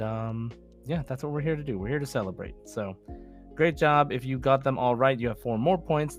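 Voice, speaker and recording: A man's voice: medium pitch, a little mellow. A man hosting a podcast in a casual, chatty style, as a quizmaster.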